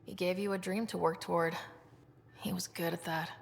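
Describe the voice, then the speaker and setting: Creaky-voiced, sincere young leader. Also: female voice, on the low side, steady tone, moderate pace, a little hiss or hum. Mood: sympathetic. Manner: sincere, inquisitive. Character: sincere, supportive young woman leader, upbeat explorer. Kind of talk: video game dialogue acting. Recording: long reverberant hall sound.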